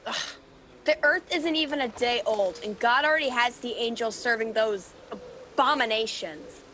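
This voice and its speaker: A woman's voice: fairly high pitch, moderate pace, pushed and bright, slight background noise. A woman playing a sly, sarcastic demon henchman with a mocking, theatrical delivery in a radio play. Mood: scornful. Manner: mocking, mock-sweet, theatrical.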